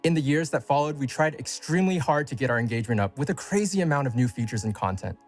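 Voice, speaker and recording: A man's voice: mid-range voice, lively intonation, fast talker, a little mellow, faint music behind. A man narrating a scripted retrospective as a game developer, speaking in prepared, complete sentences.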